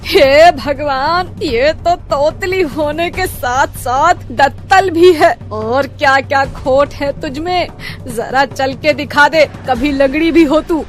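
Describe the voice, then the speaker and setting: A woman voicing a sharp-tongued, sarcastic middle-aged mother-in-law in a cartoon story. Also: fairly high pitch, a little slow, soft background music, close to an everyday voice. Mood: scolding. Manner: scolding, dramatic, sarcastic. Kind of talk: cartoon voice acting.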